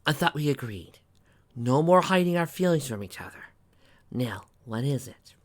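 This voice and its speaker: A calm elder alicorn princess, as a story reader puts her on, gentle and measured. She speaks quietly with caring authority. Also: medium pitch, lightly acted. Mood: gently concerned.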